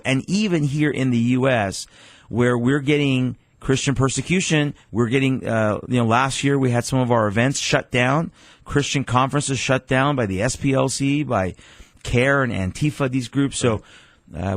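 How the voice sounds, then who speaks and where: A man's voice: mid-range voice, dry recording. A man in his forties, originally from Iran, a pastor speaking earnestly as a radio guest with frequent restarts.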